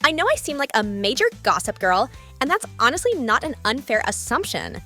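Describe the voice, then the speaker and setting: A woman playing a sassy, vain, gossipy young officer in an animated comedy, speaking with emphasis. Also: on the high side, a little fast, soft background music, heavily acted. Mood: sassy, evasive.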